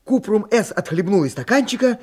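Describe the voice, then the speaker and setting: A man's voice: high voice, lively intonation, brisk, darkish tone, slightly rough. A man reading scripted narration for a radio play as its storyteller.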